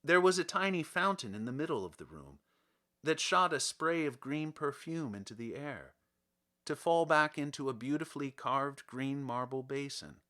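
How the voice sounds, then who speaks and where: Bright-voiced male storybook narrator. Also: mid-range voice, lively intonation, normal speed. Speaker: audiobook reader.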